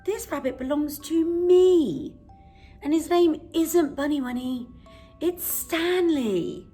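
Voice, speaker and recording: A woman's voice: sits high, slow pace, dark tone, faint music behind, pitched above the performer's own voice. A woman voicing a defiant little girl in a children's story, speaking in emphatic, indignant phrases.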